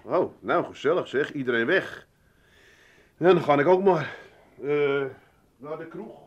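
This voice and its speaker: A scheming Amsterdam son in a comic radio drama. He pitches his voice high and talks like a market salesman. Everything he says is persuasive, blustering and a little grandiose. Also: lively intonation, unhurried, muffled recording. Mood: breezy, sarcastic. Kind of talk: comic radio play acting.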